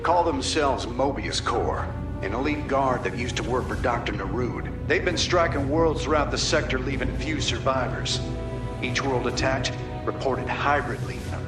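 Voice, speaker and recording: Male actor as weary folksy space commander, drawl, gruff, distant and echoey, music behind. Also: medium pitch, moderate pace, natural voice. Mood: wry. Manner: folksy, plain-spoken, gruff.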